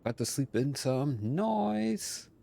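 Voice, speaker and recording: A man's voice: fairly high pitch, very animated, slow pace, vocal fry, dark tone. A man streaming a video game, giving casual live reactions in short remarks.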